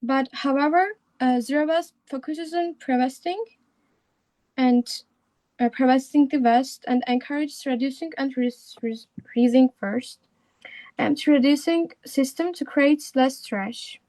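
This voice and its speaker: High-voiced teenage girl presenting hesitantly. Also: measured pace, dark tone, no echo, poor audio. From Turkey. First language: Turkish. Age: teens. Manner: hesitant, many fillers and restarts. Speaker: student speaker. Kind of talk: presenting a talk at an online conference. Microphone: remote call microphone.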